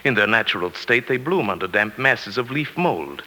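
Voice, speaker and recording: An old radio drama recording of a henpecked, middle-aged professor. His voice is fairly low and very expressive, with deferential, hesitant phrasing and a quietly seething undertone. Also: normal speed, heavily acted. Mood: meek, evasive. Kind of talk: 1940s radio drama acting. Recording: old 1940s radio broadcast with music.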